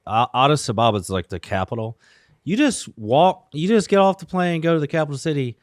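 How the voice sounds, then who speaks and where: A man co-hosting a podcast, telling stories in a lively, casual way and laughing often. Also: a little high, brisk, no echo, clean studio sound. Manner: animated storyteller, laughs often. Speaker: podcast co-host. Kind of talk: casual chat.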